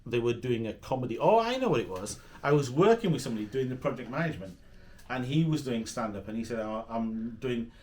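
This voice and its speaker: A man's voice: medium pitch, expressive, fast talker, darkish tone. A man with a Yorkshire accent, a comedian and project manager talking casually as an interview guest, rambling with fillers and self-deprecating asides. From England.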